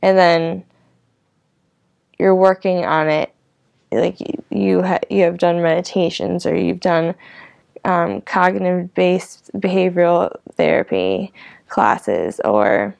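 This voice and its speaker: Low, creaky-voiced woman, casual and rambling. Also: slow pace, a little mellow, no room sound. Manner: informal, meandering, filler-heavy with frequent "like" and "you know". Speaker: host of her own online show. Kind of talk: casual rambling vlog reflection.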